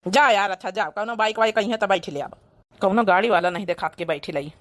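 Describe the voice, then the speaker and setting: A woman's voice: medium pitch, fast, lightly acted. A woman voicing a casual village man with an Awadhi accent in a comedy cartoon. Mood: casual pleading.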